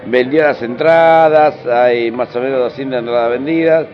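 A man's voice: medium pitch, normal speed. An Argentine man giving an informal interview as a musician, speaking in slangy, colloquial phrases and repeating himself for emphasis. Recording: dull radio interview over music.

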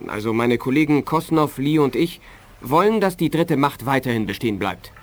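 An actor playing an earnest, formal intelligence captain in a 1980s science-fiction radio play. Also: male voice, mid-range voice, lively intonation, moderate pace, lightly acted. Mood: earnest, insistent. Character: earnest male intelligence captain, secretly sympathetic defector.

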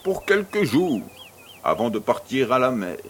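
A man plays a suave, worldly baron with a very expressive, swooping delivery. He is courteous and playful in audio drama dialogue. A faint noise sits behind the voice. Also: mid-range voice, a little slow. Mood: gallant. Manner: polite, flourishing, playful.

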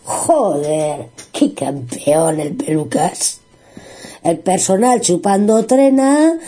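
A woman playing a brash, sarcastic young female friend with a Spanish accent and a slightly husky voice, blunt and sweary. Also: a little high, dark tone, heavily acted. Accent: Spanish, from Spain.

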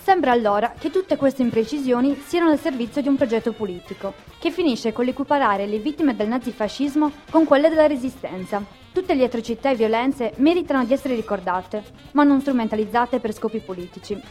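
A woman reads scripted radio narration. She is measured and expository, like a presenter laying out a history piece, with light music behind her. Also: a little high, a little mellow.

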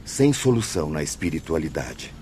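A Brazilian actor playing a grave, insistent spirit healer in a radio drama, with formal, emphatic delivery and a Brazilian accent. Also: male voice, very deep voice, sing-song, moderate pace, mellow, a little hiss or hum. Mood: grave, urgent. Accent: Brazilian Portuguese.

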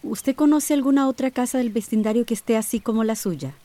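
A woman acting in a radio soap as a calm, courteous housing inspector. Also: a little high, a little fast, close to an everyday voice. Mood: calm, measured.

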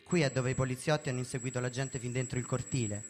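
A man reading a first-person story in a measured, theatrical way, as a dramatic narrative performance. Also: mid-range voice, fairly even intonation, noisy background. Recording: music behind the voice. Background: background music.

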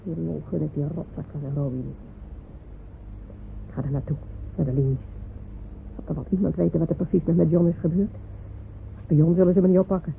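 An actress playing a gruff, fierce village woman with a brusque, colloquial way of talking, in a radio drama. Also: deep voice, steady tone, brisk, pauses often. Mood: resolute, brooding. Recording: tinny, noisy old radio recording.

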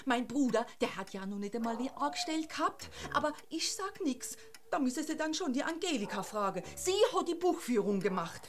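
An actress. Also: female voice, high voice, animated, a little fast, noisy background. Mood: gossipy. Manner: gossipy, knowing. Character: gossipy middle-aged sister, sly and knowing. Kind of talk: radio play acting.

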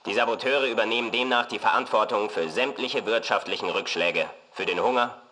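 A man's voice: fairly low pitch, brisk, pushed and bright. A man reading formal, documentary-style scripted narration in a radio drama. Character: formal chronicler reporting a political trial.

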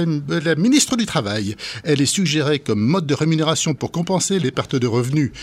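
A man hosting a community radio show, playful and jokey as he introduces guests. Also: a little high.

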